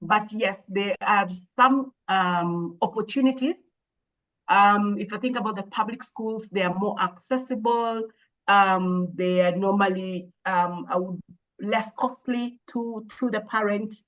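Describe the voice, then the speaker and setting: A woman, an education expert, answering interview questions in a measured, thoughtful way with frequent hesitations and restarts. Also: mid-range voice, a bit bright, lo-fi sound. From Kenya. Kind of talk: explaining in an interview.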